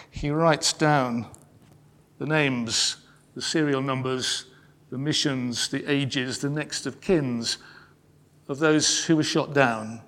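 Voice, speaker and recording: Solemn man in a reverberant hall. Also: on the high side. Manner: measured and solemn, slowing on key phrases. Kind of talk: sermon-style storytelling. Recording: long reverb in a large hall.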